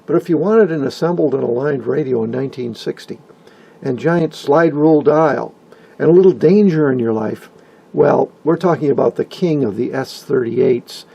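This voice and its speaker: Older male hobbyist narrator, gravelly and dark-toned, wry and folksy, reading informal video voice-over. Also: mid-range voice, moderate pace, rough recording. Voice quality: husky, gravelly. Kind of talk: informal scripted narration about vintage radios.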